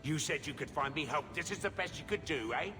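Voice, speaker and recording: An actor playing a wary, grumbling former raider turned merchant in a video game. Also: male voice, fairly high pitch, lively intonation, a little fast, natural voice. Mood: irritated, earnest. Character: jumpy ex-raider man turned shady merchant. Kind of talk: video game cutscene acting. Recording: long hall reverb, loud music behind.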